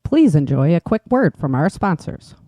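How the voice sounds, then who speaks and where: A woman's voice: sits low, sing-song, moderate pace, noisy background. A woman who created the show reads scripted promotional announcements and sponsor spots into a close mic.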